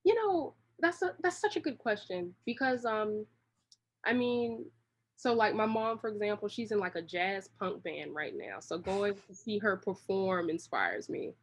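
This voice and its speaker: A woman's voice: medium pitch, animated, unhurried. A woman talking casually as an interview guest, in a rambling, slangy, long-winded way. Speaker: interview guest, creative director.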